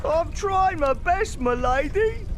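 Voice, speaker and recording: A man's voice: extremely high pitch, expressive, slow, a little hiss or hum, full character voice. An actor, a man, playing a hapless, plaintive little servant creature in a comic video game. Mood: flustered. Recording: music under the voice.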